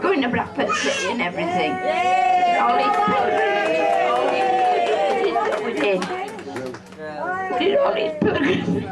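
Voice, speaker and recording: A woman's voice: extremely high pitch, sing-song, measured pace, pauses often, heavy background noise. An older woman giving an informal, rambling, repetitive speech to guests, emotional and close to tears. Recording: distant home video, loud crowd.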